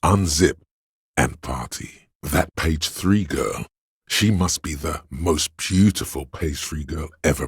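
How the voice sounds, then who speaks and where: A man voicing a sleazy, hedonistic inner voice of addiction in a video game, with a slow, coaxing, streetwise manner and a deep gravelly, husky sound. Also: no room sound, clean studio sound, exaggerated voice. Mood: lustful, urging. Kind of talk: video game character voice acting.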